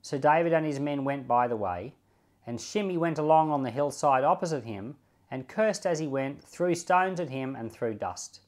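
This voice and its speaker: A man reading scripture and giving informal devotional commentary in a measured, deliberate way, leaning on key words. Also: medium pitch, lively intonation, darkish tone. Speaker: Bible reader and pastor giving commentary.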